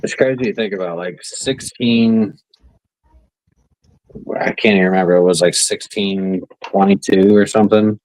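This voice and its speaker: Deep-voiced man, relaxed podcast banter. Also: normal speed, no echo, poor audio. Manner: relaxed, argumentative, lots of "like". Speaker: podcast co-host. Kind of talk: casual chat.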